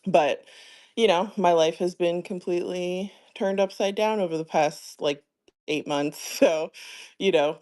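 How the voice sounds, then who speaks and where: A man's voice: fairly high pitch, a little mellow. A man hosting a live-streamed talk show, speaking hesitantly with many fillers as he thinks aloud about his plans. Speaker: show host. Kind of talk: casual conversation on a live stream.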